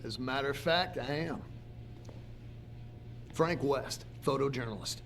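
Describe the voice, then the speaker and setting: An actor playing a cocky, skeptical photojournalist, blunt and inquisitive, in a video game cutscene. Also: male voice, medium pitch, unhurried, fairly bright tone, a little hiss or hum. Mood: cocky, probing. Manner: blunt, questioning, wry.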